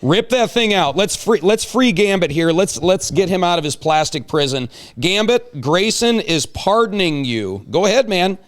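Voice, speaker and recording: A man's voice: high voice, expressive, moderate pace, few pauses. A man hosting a casual talk show, chatting with guests in a playful, joking way. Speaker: show host.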